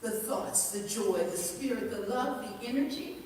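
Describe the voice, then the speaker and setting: Echoey, expressive woman reciting poetry. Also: fairly high pitch, moderate pace. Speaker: poet reading their own poem at a memorial service. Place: large echoing hall at a memorial service. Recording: distant, echoey hall with clear background noise.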